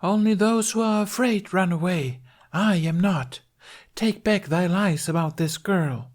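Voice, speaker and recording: A man putting on a high, theatrical lady knight. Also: unhurried. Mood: bold challenge. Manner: declamatory, archaic stage speech. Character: theatrical fairy woman acting a gallant, bombastic knight.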